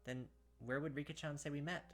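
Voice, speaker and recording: A man's voice: on the high side, fairly even intonation, brisk, fairly bright tone, faint music behind. A man reading visual novel text aloud as a let's-play host, dropping casual, slangy asides along the way.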